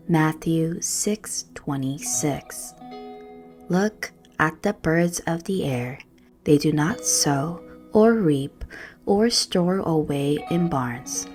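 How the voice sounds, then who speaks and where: Casting: woman meditation guide, low-pitched, gentle and hushed, reading scripted prayer over music. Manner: gentle, soothing, hushed, measured phrasing.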